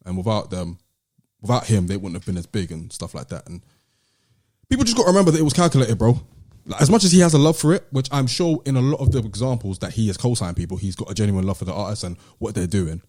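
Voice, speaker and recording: A man's voice: fairly low pitch, expressive, fast talker, mellow. A British man hosting a podcast, debating music casually and emphatically, repeating himself to drive points home. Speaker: podcast host.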